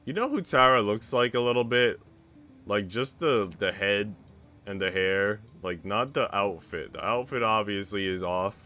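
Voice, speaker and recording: Bright, low-voiced man streaming. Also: a little slow, faint music behind. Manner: informal, slangy asides. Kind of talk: visual novel read-aloud with casual asides.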